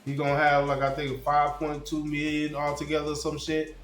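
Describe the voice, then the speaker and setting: A man's voice: a little high, completely flat delivery, a little fast, fairly bright tone. A man hosting his fan channel, talking loosely and slangily with plenty of swearing, sniffly from a cold. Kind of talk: casual sports commentary. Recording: roomy sound with faint music.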